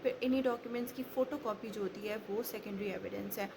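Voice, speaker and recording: A woman's voice: mid-range voice, fairly even intonation, fast talker. A woman giving an informal law lecture, explaining step by step with everyday examples and mixing English legal terms into her speech. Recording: clearly noisy, background ambience.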